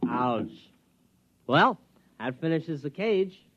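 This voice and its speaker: An earnest excitable naive dude in a 50s radio comedy, swingy highish voice, dry muffled old recording. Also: male voice, extremely slow, over loud music, heavily acted. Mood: earnest, whimsical. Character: excitable, naive, whimsical man on a hunting vacation. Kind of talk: 1950s radio comedy acting.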